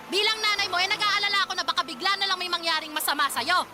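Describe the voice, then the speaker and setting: Loud ambient noise surrounds a very high-pitched Filipino mother. She is strict and scolding, in radio drama style. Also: steady tone, quick pace. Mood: worried, stern. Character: strict, worried, scolding mother of a teenage daughter.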